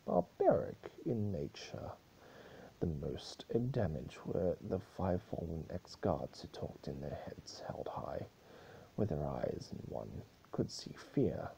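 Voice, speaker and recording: Male amateur narrator for grim fiction; very dark, deep tone, halting dramatic delivery. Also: creaky, breathy edge, rough recording. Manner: stumbling, halting delivery with misreadings corrected mid-sentence. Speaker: amateur story reader.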